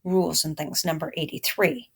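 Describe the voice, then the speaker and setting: A woman's voice: deep voice, lively intonation, moderate pace, vocal fry, breathy edge, pitched below the performer's own voice, exaggerated voice. A woman reading a storybook as a plainspoken, wry young boy remembering his mama.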